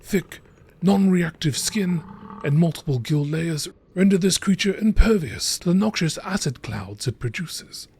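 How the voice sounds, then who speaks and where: A man reading scripted narration in a measured, enunciated nature documentary style, with a husky voice. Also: fairly high pitch, lively intonation, a little mellow, slight background noise. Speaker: narrator reading game lore entries.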